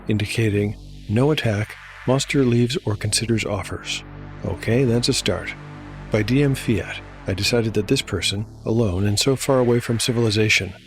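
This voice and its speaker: A man narrating a fantasy tabletop game as storyteller and game master, in a measured, scripted delivery. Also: on the low side. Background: music.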